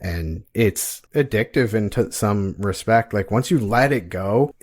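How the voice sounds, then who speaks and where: A man's voice: on the low side, measured pace. A man in his forties talking candidly in a casual podcast conversation, laughing at himself now and then. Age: 40s. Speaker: podcast co-host.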